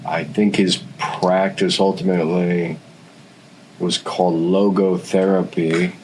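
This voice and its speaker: American man, deep voice, podcast interviewer, casual, affirming interjections, faint noisy ambience behind him. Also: level tone, takes it slowly, a little mellow. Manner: affirming, interjects short approvals.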